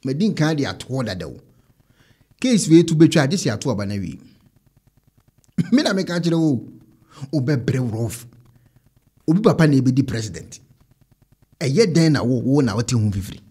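A man's voice: mid-range voice, big pitch swings, moderate pace, long pauses, warm tone, husky. A man in his thirties with a Ghanaian accent, hosting a casual reaction show and talking to camera. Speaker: online reaction show host. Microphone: close desk microphone.

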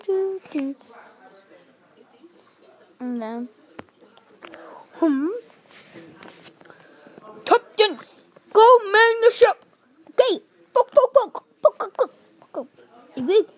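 A child improvising a pirate puppet show, acting out the characters and chanting in a sing-song way. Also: very high-pitched.

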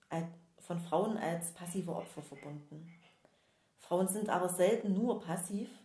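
A woman hosting a podcast, giving an earnest, measured scripted introduction. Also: fairly low pitch, warm tone, echoey room. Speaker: podcast host.

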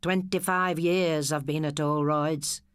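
An English woman voicing a weary, wounded middle-aged working man in a storybook, slow and plain-spoken. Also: deep voice, steady tone, smooth, no echo, studio quality. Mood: dejected.

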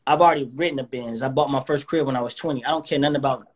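A man's voice: mid-range voice, rapid-fire, breathy edge. A man in his thirties talking in a confident, motivational, somewhat preachy way as a guest in a casual interview, speaking from a living room over a phone or webcam video call. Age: 30s. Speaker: guest artist. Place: indoors, living room with couch.

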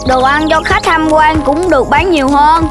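A woman's voice: high-pitched, moderate pace, over music, natural voice. A woman with a southern Vietnamese accent voicing a straightforward, childlike animal friend in a children's cartoon. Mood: chatty.

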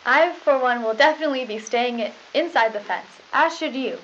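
A young woman playing a gushing, relentlessly upbeat high school news anchor with a chirpy, scripted delivery. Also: a little fast, fairly bright tone. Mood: forced cheer. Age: young adult. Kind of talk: scripted mock 1990s school news broadcast. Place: small studio news desk. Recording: dull, noisy lo-fi 1990s videotape.

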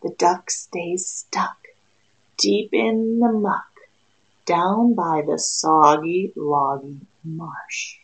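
A woman's voice: lowish voice, fairly even intonation, very slow, rough recording. A woman in her thirties, a preschool teacher, reading a picture book aloud expressively and in a sing-song way to young children. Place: small room indoors.

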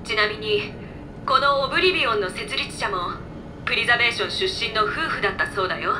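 Woman voicing a high-pitched, easygoing robot guide. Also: quick pace, noisy background, natural voice. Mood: matter-of-fact, breezy. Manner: casual, explanatory. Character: floating round robot, easygoing knowledgeable resistance member. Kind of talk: video game voice acting, exposition.

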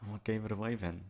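Man acting a low, creaky, bashful young playboy. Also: a little mellow. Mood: embarrassed. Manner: halting, stammering, bashful. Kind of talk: romantic ASMR roleplay acting.

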